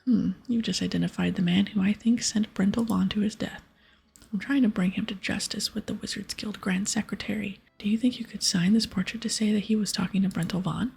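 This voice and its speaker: Woman as polite, earnest game adventurer, very breathy and whispered, persuasive. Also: mid-range voice, steady tone, brisk, a little mellow, exaggerated voice. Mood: persuading. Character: earnest adventurer investigating a crime.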